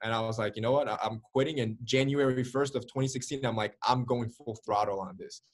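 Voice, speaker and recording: A young man in his early twenties with a slightly husky voice tells his story on a video call. He talks with lively energy, waving his hands, and fills his speech with "like" and "right?". The sound comes through a webcam in a plain indoor room. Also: medium pitch, fairly quick. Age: early 20s. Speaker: interview guest. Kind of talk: candid storytelling.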